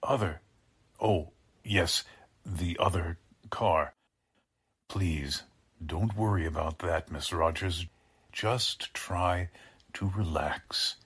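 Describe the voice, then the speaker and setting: An actor playing a courtly but menacing mad scientist in a 1940s-style radio drama, with formal, pompous, halting delivery. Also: male voice, sits low, fairly bright tone, slightly rough, heavily acted. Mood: falsely reassuring.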